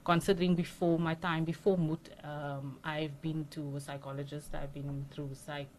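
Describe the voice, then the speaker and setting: A South African woman in her forties, a radio studio guest, telling her story nervously and haltingly with many fillers and restarts. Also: deep voice, flat delivery, darkish tone, a little hiss or hum, low-quality recording. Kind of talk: personal interview talk. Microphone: studio microphone.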